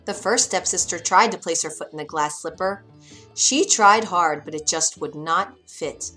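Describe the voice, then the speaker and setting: A woman narrating a children's bedtime story in an expressive, dramatic way. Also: lowish voice, normal speed, faint music behind.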